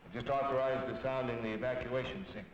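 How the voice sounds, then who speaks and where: A man's voice: mid-range voice, fairly even intonation, quick pace. A man in the role of a mayor gives a formal address to a city council. His voice rings through a big echoey chamber, with a faint hiss and a dull 1950s film tone. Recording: echoey hall, dull old film sound.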